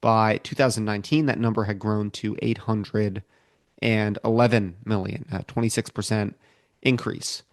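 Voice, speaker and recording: An American man co-hosting a podcast, explaining things in a measured way from prepared notes. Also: fairly low pitch, steady tone, dry recording, studio quality. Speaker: podcast co-host.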